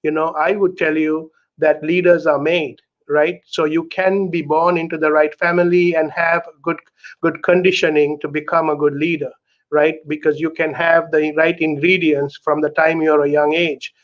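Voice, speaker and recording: A middle-aged man from Sri Lanka speaks earnestly as an interview guest, often tagging his points with "right?". His voice sits somewhat high. The video call audio is dull and muffled. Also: flat delivery, normal speed. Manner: earnest, keeps adding "right?" to check in. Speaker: author and guest. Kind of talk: candid conversational interview talk.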